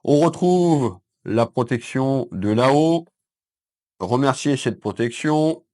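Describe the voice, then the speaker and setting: A man from France with a head cold giving a calm weekend card reading to viewers from his consulting office. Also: mid-range voice, slow pace. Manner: calm, addresses viewers as "mes belles âmes", with frequent fillers. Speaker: psychic card reader. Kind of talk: weekend tarot reading to camera.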